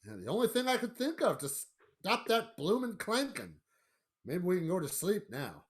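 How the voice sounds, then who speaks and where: A man's voice: high voice, wildly swooping pitch, moderate pace. An actor playing a brusque, down-to-earth father in a radio play, blustering and dismissive. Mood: grumbling, determined.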